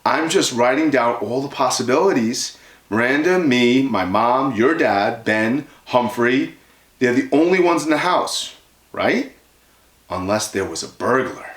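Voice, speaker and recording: A man's voice: medium pitch, expressive, unhurried, voice pushed up, close to an everyday voice. Man reading as a sour, indignant young girl, echoey room. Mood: puzzled. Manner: indignant, blunt. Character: sour, accusing young girl in a children's storybook.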